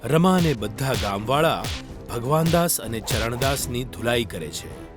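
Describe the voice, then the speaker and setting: A man's voice: medium pitch, expressive, measured pace. A man narrating a scripted moral story for children. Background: faint music.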